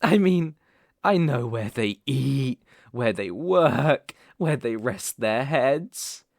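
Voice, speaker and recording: A British man playing an obsessive, menacing young boyfriend in an intimate ASMR roleplay, coaxing softly with slow, deliberate emphasis. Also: mid-range voice, expressive, creaky, dry recording, clean studio sound. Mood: gloating, threatening. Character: obsessive, possessive, menacing young boyfriend holding partner captive.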